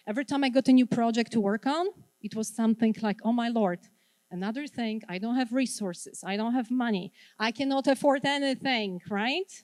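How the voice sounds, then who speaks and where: Polish lady giving a wellness workshop, higher voice, dry sound w a little hum in the back. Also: dry recording. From Poland. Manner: engaging, asks the audience questions and repeats their answers. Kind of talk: interactive workshop talk to a live audience.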